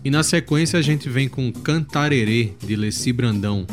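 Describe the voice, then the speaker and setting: A man's voice: mid-range voice, normal speed. A Brazilian man hosting a music show, chatting casually and telling anecdotes between songs. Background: music playing softly behind.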